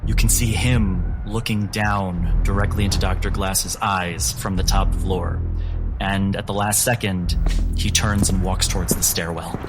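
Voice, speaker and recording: A man narrating a tabletop game as game master, with a slow, deliberate storytelling delivery. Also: sits low, steady tone. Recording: music bed behind the voice. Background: music.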